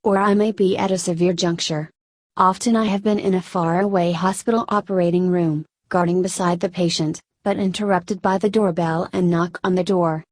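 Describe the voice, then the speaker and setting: A woman narrates a scripted story in the first person. Her voice sits a little on the low side for a woman. The sound is dry, with very little room in it. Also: steady tone, normal speed, a little mellow, dry recording.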